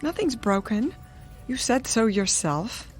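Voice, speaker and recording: An imperious, vain older society woman for radio drama. Her voice sits fairly high and swings widely as she snaps out demands with impatient, haughty disdain. Faint music plays behind her. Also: sing-song, takes it slowly. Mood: haughty, irritated.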